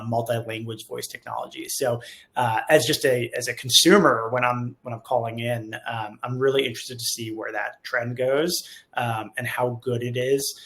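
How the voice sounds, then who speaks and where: A man's voice: medium pitch, gravelly. A man co-hosting a company webinar in a casual, conversational way, thinking aloud as he goes in an informal, rambling manner. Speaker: co-host of a company webinar, software company staff. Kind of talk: casual panel talk.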